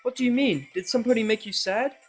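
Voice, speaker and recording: A man's voice: very high voice, quick pace, husky, faint music behind, voice pushed up. A man voicing a bubbly, sweet young female cartoon pony in a fan audio drama. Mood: coaxing, sweet.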